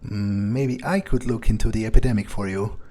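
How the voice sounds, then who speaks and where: A man doing in-character commentary for a video game playthrough, speaking in a hesitant, low-key, musing way. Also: a little fast, mellow. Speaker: gaming video creator role-playing the player character. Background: game music.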